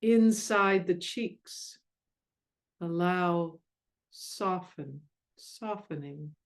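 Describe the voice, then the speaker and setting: Female meditation guide, lowish voice, very slow delivery, gentle and soothing with long pauses. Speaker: meditation guide and mindfulness coach.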